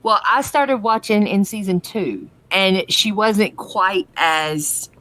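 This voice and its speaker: A woman's voice: medium pitch, slow, fairly bright tone, dry recording, low-quality recording. A woman co-hosting a podcast, recapping and discussing in an informal, chatty way. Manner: informal, uses y'all. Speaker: podcast co-host. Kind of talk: casual fan discussion.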